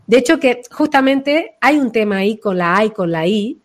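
A woman explaining her own method as a voice practitioner in an expressive, conversational way, illustrating her points as she goes. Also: a little high, moderate pace. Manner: expressive, acts out names and quotes to illustrate points. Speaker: voice and name-energy practitioner being interviewed.

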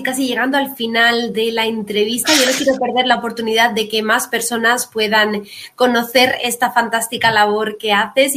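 A woman's voice: a little high, a bit bright. A woman hosting an interview, addressing her guest and the audience. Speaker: interview host.

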